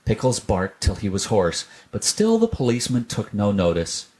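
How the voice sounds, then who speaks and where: Male narrator, slightly deep, scripted reading of a children's tale. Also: huge pitch swings, moderate pace, darkish tone. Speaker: storyteller.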